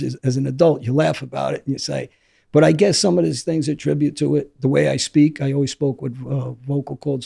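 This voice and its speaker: Middle-aged New man, hoarse and gravelly, dark and husky, rambling candid storyteller. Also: fairly high pitch. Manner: rambling, repeats words and restarts often. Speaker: interview guest.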